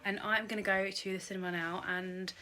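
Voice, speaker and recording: A woman's voice: mid-range voice, little pitch movement, fairly quick, bright, forceful tone. A young British woman vlogger with a cold, sounding slightly nasal and bunged up, chatting casually to camera about her shopping haul with lots of "um" and "like". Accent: British English. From England. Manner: chatty, rambling, many "um" and "like". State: blocked nose from a cold.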